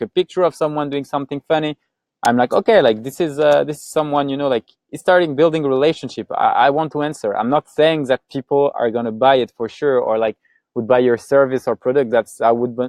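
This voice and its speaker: A man's voice: medium pitch, a little mellow, no echo. French-accented man, chatty webinar host. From France. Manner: chatty, lots of "like" and restarts. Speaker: co-founder presenting a webinar. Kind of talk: casual webinar presentation.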